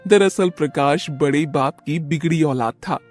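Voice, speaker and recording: A woman's voice: sits low, measured pace, darkish tone, faint music behind. A woman reading scripted narration for a storybook tale as its narrator.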